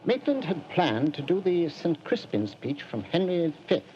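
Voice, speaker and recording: An actor playing an anguished, honour-bound general, middle-aged, halting and emotional, in 1940s radio style. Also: male voice, a little high, sing-song, dark tone. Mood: shaken, resigned. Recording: dull, noisy old radio recording.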